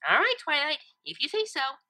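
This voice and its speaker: A woman's voice: high-pitched, huge pitch swings, normal speed, bright, forceful tone, pitched above the performer's own voice, exaggerated voice, low-quality recording. A woman voicing a bubbly, innocent, silly young female cartoon pony in a perky, naive manner, in a fan fiction reading. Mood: agreeable. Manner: perky, playful, cheerfully naive.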